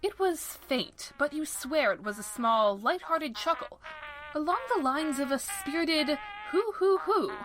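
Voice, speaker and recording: Wry narrator, higher-voiced woman. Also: animated, measured pace, background noise. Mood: intrigued. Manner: wry, mock-formal. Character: wry, playful storybook-style female narrator. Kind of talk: scripted second-person narration.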